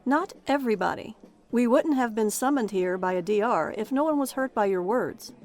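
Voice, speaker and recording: A woman's voice: a little high, lively intonation, moderate pace, darkish tone, natural voice. An actress in an audio drama playing a stern, humorless female officer, clipped and reproving. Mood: cold, reproving.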